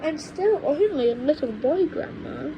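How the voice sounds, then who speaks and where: Storybook boy, eight, voiced by a woman: high, lively intonation, polite, pleading, easily dismayed. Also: measured pace, highs cut off. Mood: protesting. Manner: pleading, protesting. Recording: noisy low-quality home microphone recording.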